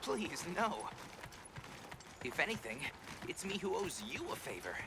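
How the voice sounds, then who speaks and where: A friendly young villager for a video game, eager and quick to apologise. His voice is fairly high and very lively in pitch, with clear noise and hoofed-animal ambience behind it. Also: full character voice. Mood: sheepish, apologetic.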